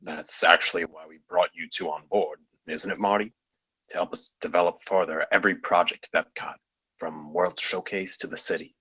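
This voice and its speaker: Man acting a deep, forceful, husky executive. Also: fairly even intonation, normal speed, no echo, heavily acted, low-quality recording. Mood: assertive. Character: forceful, exasperated male project executive. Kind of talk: script table read.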